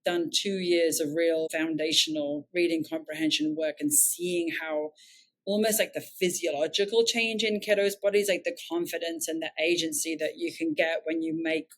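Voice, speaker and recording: A woman's voice: low-pitched, steady tone, normal speed, poor audio. A woman with an English accent, a nonprofit founder telling her story in an interview, reflective and earnest, often correcting herself mid-sentence.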